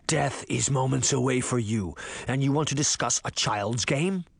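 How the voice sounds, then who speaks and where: A man voicing a menacing wolf monster in a storybook, mocking and slow, with a low growl. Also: creaky, husky, no echo, clean studio sound. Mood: threatening. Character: menacing male wolf monster, sadistically amused, taunting.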